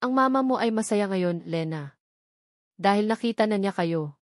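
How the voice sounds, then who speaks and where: A woman's voice: medium pitch, animated, normal speed, no echo, clean studio sound, close to an everyday voice. A woman voicing a doting, wealthy old grandfather in a story, tender and reassuring. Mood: comforting.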